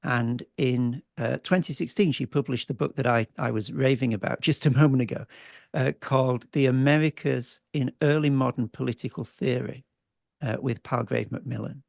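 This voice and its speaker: A man introduces a guest speaker at an academic seminar, full of praise and stopping often on fillers. His voice is gravelly and the sound is dry, heard over a video call. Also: medium pitch, moderate pace, no room sound. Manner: warm praise, many fillers and restarts. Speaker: seminar host.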